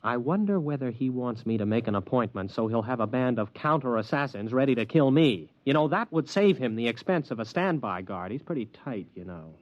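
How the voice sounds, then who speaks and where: Actor as exasperated young man, lively pitch. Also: a little fast, hardly any pauses, a little hiss or hum, close to an everyday voice. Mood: wry suspicion. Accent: American. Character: exasperated, reluctant young man, skeptical and unambitious. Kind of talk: 1950s radio drama acting. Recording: dull dry vintage radio recording.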